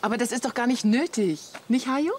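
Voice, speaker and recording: An actress playing a middle-aged wife and mother, sharp-tongued yet fond, in a German radio play. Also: on the high side, fast, slight background noise, natural voice. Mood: confident.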